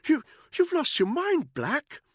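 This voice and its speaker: A man's voice: very high-pitched, huge pitch swings, slow pace, no echo, studio quality, voice pushed up, heavily acted. A man voicing a panicky, cowardly official who stammers and trips over his words. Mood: flustered.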